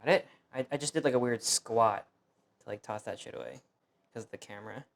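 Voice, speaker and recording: Brief: young male streamer, mid-pitched, rambling and self-deprecating, first language Fuzhounese. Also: fairly quick, creaky. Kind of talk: casual cooking stream chat.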